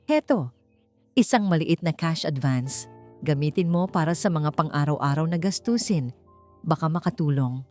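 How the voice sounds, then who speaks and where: A woman's voice: deep voice, expressive, moderate pace, darkish tone, faint music behind. A woman voicing a manipulative, smug male boss with falsely kind manners in an audiobook drama. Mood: feigned sympathy.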